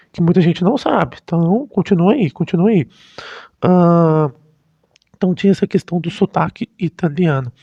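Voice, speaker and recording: High-voiced Brazilian man, halting delivery. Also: vocal fry, dark tone. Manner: halting, frequently rephrasing mid-sentence. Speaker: history YouTuber. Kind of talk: casual unscripted commentary. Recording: dull, lo-fi, roomy sound.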